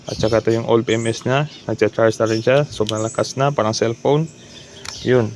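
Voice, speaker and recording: A man's voice: mid-range voice, fairly even intonation, normal speed, darkish tone, slight background noise. Guy from doing a casual repair vlog, talks thru each step, drops english tech words. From Philippines. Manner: informal, explains step by step, mixing in English terms. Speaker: repair technician demonstrating his work.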